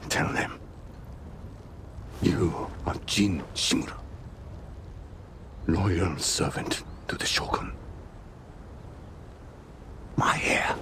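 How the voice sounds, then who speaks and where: Gravelly, stern older samurai lord. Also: male voice, deep voice, very slow, long pauses, in a whisper, full character voice. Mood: pleading, hushed. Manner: formal, commanding, emotionally intense. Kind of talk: dramatic video game cutscene acting. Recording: clear background ambience noise.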